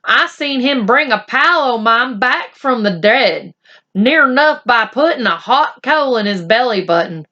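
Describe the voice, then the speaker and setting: A woman's voice: a little high, expressive, unhurried, sharp tone, muffled recording, pitched above the performer's own voice, full character voice. A woman voicing a tiny, folksy, boastful male Old West cowboy with drawling frontier talk, in a storybook reading.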